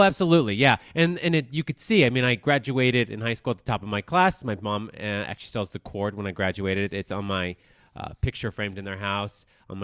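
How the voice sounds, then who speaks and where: A man in his thirties from Colorado with a smooth, resonant, rather low voice, recorded dry and close. He speaks nervously and haltingly, with frequent fillers, as he opens up as a guest. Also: fairly bright tone, no echo. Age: 30s. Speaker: guest, news manager. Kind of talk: personal interview.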